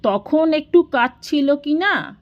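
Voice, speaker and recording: Lady doing weary older brother in an audio story, short n hurt, raised pitch, bit dull sound. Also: animated, takes it slowly, soft background music. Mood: forced casual. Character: weary, wounded, curt middle-aged elder brother.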